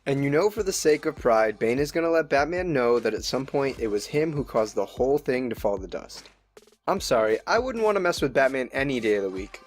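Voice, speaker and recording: A man giving a scripted, opinionated review as a show host, with conversational asides. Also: medium pitch. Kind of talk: scripted narration, review commentary. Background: music.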